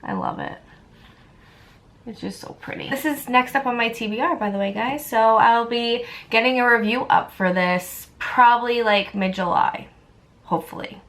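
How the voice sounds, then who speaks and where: A woman's voice: a little high, moderate pace, fairly bright tone. A woman chatting casually and enthusiastically to viewers as a book vlogger.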